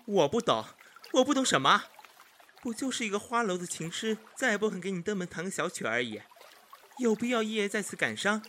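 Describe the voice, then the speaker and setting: A man playing a haughty, jealous young gentleman of ancient China in a period audio drama, with mocking, theatrical emphasis. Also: high-pitched, sing-song, fairly quick, exaggerated voice. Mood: exasperated, scornful.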